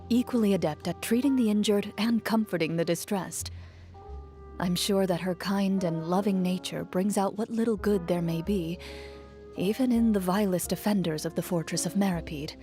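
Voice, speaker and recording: A woman playing a composed, dutiful young female champion duelist in a video game, speaking in a formal, measured, reserved way. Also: medium pitch, dark tone, lightly acted. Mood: composed, reflective. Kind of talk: video game character voice lines, reflective monologue. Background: music under the voice.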